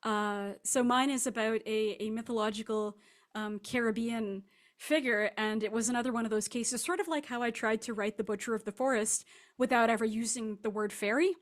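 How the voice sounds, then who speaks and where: A woman's voice: a little high, steady tone, fairly quick. A woman speaking casually as a guest author in an interview, rambling and self-deprecating. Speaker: guest fiction writer.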